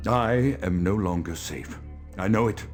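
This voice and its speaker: An actor playing a fearful, paranoid vampire doctor in a video game, reciting a formal, florid diary monologue. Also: male voice, low-pitched, a little slow. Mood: fearful, agitated. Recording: faint music behind the voice.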